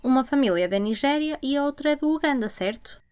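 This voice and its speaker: A woman, hosting a podcast and asking measured questions. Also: high voice, animated, a little mellow. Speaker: podcast host. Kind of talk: interviewer.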